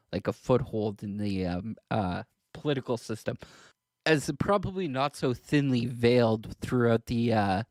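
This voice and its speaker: A man chatting casually as a podcast co-host, halting and rambling, often repeating words as he works toward his point. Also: mid-range voice, dry recording.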